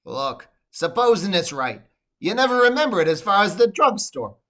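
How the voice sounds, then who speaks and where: An actor playing a sardonic, wisecracking saloon bartender in old-time radio style. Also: male voice, high voice, very animated, normal speed, a bit bright. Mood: wry.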